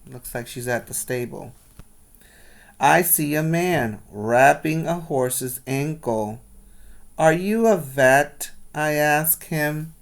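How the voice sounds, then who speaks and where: A man reading aloud to young children as their teacher, pausing to explain and put questions to his listeners. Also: on the high side, creaky. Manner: instructional, asking the listeners questions.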